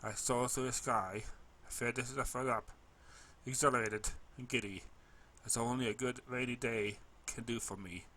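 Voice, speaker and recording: Bright-voiced man narrating a story. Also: mid-range voice, steady tone, normal speed, a little hiss or hum. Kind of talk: expressive first-person fan fiction narration.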